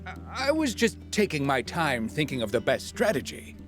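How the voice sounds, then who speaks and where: A man's voice: on the high side, huge pitch swings, moderate pace, a bit bright, faint music behind. A man playing a bumbling, well-meaning and sheepish character in a video game, hesitant in his speech. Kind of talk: video game cutscene acting.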